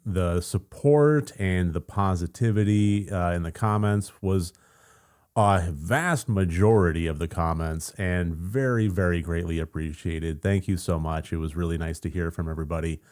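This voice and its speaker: Deep-voiced American man, gravelly from just waking up; chatty, rambling vlog host. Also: normal speed. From United States. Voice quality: gravelly morning voice. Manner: chatty and rambling, with fillers and soft laughs. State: just woken up, voice deeper than usual. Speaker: channel host. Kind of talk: casual vlog update.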